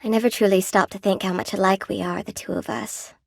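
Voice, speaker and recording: An actress voicing a lonely, proud spider woman, a cursed weaver in a video game, speaking softly with old-fashioned courtesy and a wry edge. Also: mid-range voice, steady tone, a little fast, creaky, no room sound, studio quality. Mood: wistful, confiding. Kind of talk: video game character dialogue.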